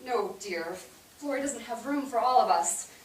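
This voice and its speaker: A woman on stage playing a weary mother who gently but firmly explains hard news to her sons. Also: fairly high pitch, expressive, moderate pace, lightly acted. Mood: gentle, explaining. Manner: gentle but firm, matter-of-fact maternal explaining. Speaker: stage actor. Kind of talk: staged reading of a period family drama. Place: indoor theatre stage, recorded from afar. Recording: roomy theatre, distant mic, hissy background.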